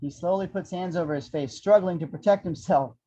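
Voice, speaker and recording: A man with a fairly high voice reads screenplay action as narrator, tossing in wry, irreverent asides. The recording is dull and lo-fi, and the delivery is that of an amateur table read of an action script. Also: fairly quick, warm tone. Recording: dull, lo-fi audio.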